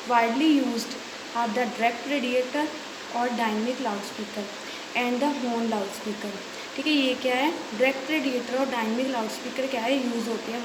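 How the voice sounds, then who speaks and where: A woman with an Indian accent giving a lecture as an electronics teacher, reading out notes and explaining them, often checking in with short tags. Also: a little high. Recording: echoey room with hiss and noise.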